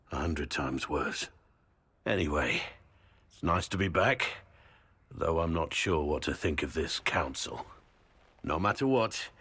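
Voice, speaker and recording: An actor playing a rueful, loyal, plain-spoken warrior in a video game, with a husky low voice. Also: male voice, fairly bright tone, heavily acted. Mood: warm, earnest. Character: gruff remorseful warrior man, loyal and teasing.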